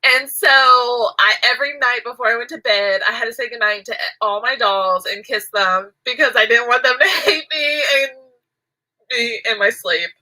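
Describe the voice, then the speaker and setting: A woman's voice: fairly high pitch, normal speed, bright, forceful tone, low-quality recording. A woman chatting casually while she crochets, rambling and giggling, her nose stuffy and nasal from allergies. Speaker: crochet vlogger.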